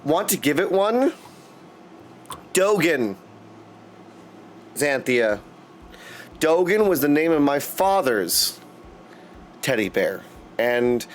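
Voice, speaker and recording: A man's voice: medium pitch, slight background noise. A man hosting a casual livestream, chatting effusively with viewers, often exclaiming and calling them dudes. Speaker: live streamer and host.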